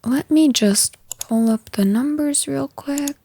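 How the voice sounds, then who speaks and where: A woman playing a stern, frustrated office boss in a scripted audio roleplay, speaking to an employee in a firm, measured, exasperated way. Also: high-pitched, warm tone. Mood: serious, disappointed. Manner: firm, exasperated, measured managerial delivery with sighs.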